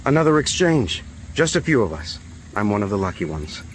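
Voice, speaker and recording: A kindly freed prisoner of war in a radio drama. His voice is low, courteous and understated, with faint ambience behind it. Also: sing-song, fairly quick, slight background noise, rough recording. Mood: warm reunion. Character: kind, courteous old soldier comrade, freed from captivity.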